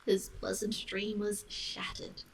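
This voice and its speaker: A woman tells a bedtime story in a soft, whispery ASMR style. Her slow voice is very melodic and creaky, heard slightly away from the mic. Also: medium pitch, wildly swooping pitch, far from the mic, slight background noise, rough recording. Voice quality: soft, breathy, dropping into whispery tones. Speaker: storyteller, live-stream reader.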